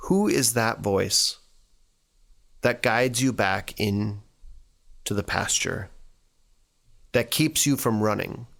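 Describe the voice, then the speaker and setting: A man reading scripture and reflecting on it as a church devotional, speaking earnestly in deliberate, short phrases. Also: lowish voice, lively intonation, gravelly, fairly bright tone. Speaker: church staff member giving a devotional.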